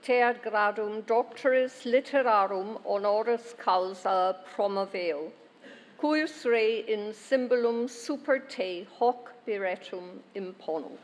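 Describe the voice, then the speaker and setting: A woman's voice: mid-range voice, slow pace. A woman solemnly intoning a ceremonial formula as chancellor, conferring a degree. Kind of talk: ritual Latin formula. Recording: distant voice in a reverberant hall.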